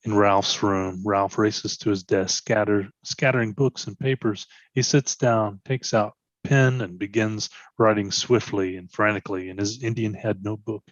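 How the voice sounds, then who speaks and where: A man's voice: fairly low pitch, steady tone, normal speed, few pauses, no echo. A man reading scripted stage directions as present-tense narration, in a slightly muffled recording.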